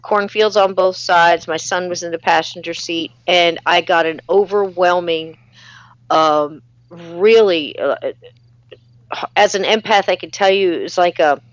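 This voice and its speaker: A woman's voice: on the low side, measured pace, no room sound, muffled recording. A woman hosting a casual live stream, reading out viewer comments and chatting in a friendly way with lots of fillers. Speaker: live-show host.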